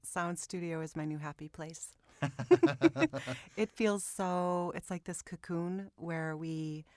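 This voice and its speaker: A woman with a notably deep voice talks as an actress being interviewed. She is earnest and reflective, and the studio recording is dry, with no room sound. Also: takes it slowly, no room sound.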